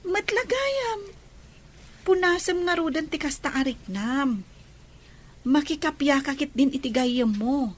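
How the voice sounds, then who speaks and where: A woman's voice: high voice, animated, a little slow, pauses often. A woman playing a caring, outspoken younger sister in an audio romance drama. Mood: frank, encouraging.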